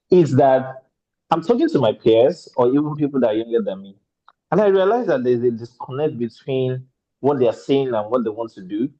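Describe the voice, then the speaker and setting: A man speaking animatedly as a speaker in an online panel discussion, chatting conversationally over a video call. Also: mid-range voice. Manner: animated, conversational, laughs between points. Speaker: webinar speaker. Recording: slightly muffled call audio.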